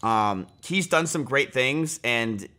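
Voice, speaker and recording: A man's voice: medium pitch, animated, slow, pushed and bright. A man in his twenties talking casually on a live stream, rambling with lots of fillers and restarts, and getting heated and blunt. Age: 20s. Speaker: livestreamer. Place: small indoor room, home streaming setup.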